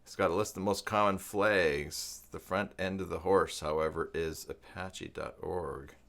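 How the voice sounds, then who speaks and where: Canadian male live stream host, lowish gravelly voice, informal tutorial chat with musing, stop-and-start delivery. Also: steady tone, fairly bright tone. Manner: halting, musing, reads aloud and mutters to himself. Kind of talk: casual live tutorial chat, thinking aloud.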